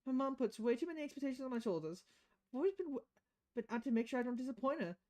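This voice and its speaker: Woman playing a high-pitched, nervous teenage girl. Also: animated, very fast, mellow. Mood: defiant, weary. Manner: hesitant, stammering, pleading. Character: nervous, rebellious teenage girl posing as a ghost.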